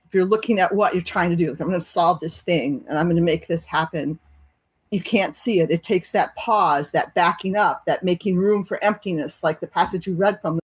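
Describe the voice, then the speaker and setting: A woman co-hosting a recovery podcast, talking thoughtfully and reading short passages aloud with slow pauses. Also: lowish voice, breathy edge, dry recording. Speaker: podcast co-host. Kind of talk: reflective recovery group talk.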